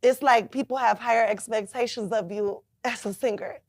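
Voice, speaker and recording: Twenties woman, raspy rapper-singer, laid-back and blunt, dry close mic. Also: a little high, fairly even intonation, moderate pace, darkish tone, no room sound. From Chicago, US. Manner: laid-back, frank, swears freely. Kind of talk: casual interview.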